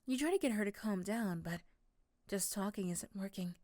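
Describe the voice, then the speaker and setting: A woman's voice: medium pitch, expressive, moderate pace, a little mellow, no room sound. Dry, close female roleplay narrator. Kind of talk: scripted second-person ASMR roleplay narration.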